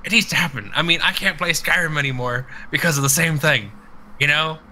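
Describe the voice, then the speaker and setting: A man's voice: a little high, fairly quick, bright, forceful tone, slight background noise, poor audio. A man co-hosting a casual podcast, telling rambling stories in a crude, profane way. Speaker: podcast co-host. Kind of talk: casual podcast banter and storytelling.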